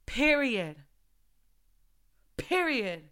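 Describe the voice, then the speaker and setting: A woman's voice: high voice, big pitch swings, drawn-out words, clear, resonant tone. A young woman giving an informal preaching talk, urging other mothers with repeated rhetorical questions and fervent exhortations. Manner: shifts between soft reflective testimony and loud urging.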